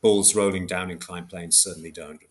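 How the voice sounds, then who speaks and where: A man's voice: low-pitched, fairly even intonation, normal speed. A man explaining concepts as an academic interview guest, in a precise but hesitant way, often correcting himself. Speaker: philosopher guest, book author.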